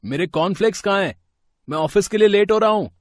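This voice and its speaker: An actor playing a spoiled young adult son who talks in a hurried, demanding way in a family story. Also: male voice, high-pitched, no echo, natural voice. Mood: irritated.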